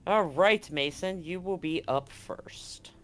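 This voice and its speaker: A man with a slightly high voice runs a tabletop roleplaying game as game master. He talks casually, laying out the scene for his players, with faint music playing underneath. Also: slow pace, fairly bright tone. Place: live online game stream.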